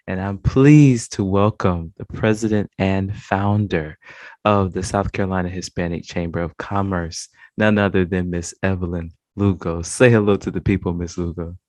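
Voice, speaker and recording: A man's voice: low-pitched, steady tone, normal speed, a little mellow, dry recording. A man hosting an interview podcast, speaking to listeners and a guest. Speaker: podcast host. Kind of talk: scripted intro, then conversational interview.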